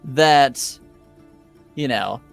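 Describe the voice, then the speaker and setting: Male game master, slow and hesitant, mid-pitched, narrating tabletop fantasy play. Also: vocal fry. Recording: music behind the voice. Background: background music.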